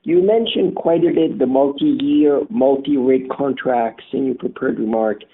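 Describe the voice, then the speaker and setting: A man's voice: medium pitch, measured pace. A man calling in to put questions to company management on an earnings call. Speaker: caller asking questions. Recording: noisy, muffled phone-like call line.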